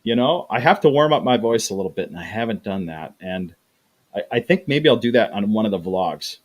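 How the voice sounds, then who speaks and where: A man's voice: lowish voice, animated, a little fast. Chatty man hosting a livestream. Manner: chatty, repeats words, acts out quoted voices. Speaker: livestream host reading chat. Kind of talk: casual livestream chat.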